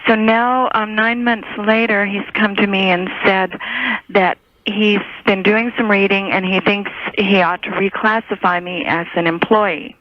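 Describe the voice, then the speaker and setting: A woman's voice: medium pitch, flat delivery, measured pace, a little hiss or hum. A woman calling in to a radio show, explaining her situation in unscripted conversation. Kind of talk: phone-in conversation. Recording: dull telephone line on roomy radio tape.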